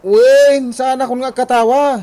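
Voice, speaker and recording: An actor playing a cheeky, whiny, hard-drinking grown son in a Filipino radio comedy drama, with a Filipino accent. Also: male voice, very high-pitched, fairly even intonation, a little slow, warm tone. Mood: teasing, whiny. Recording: noisy background.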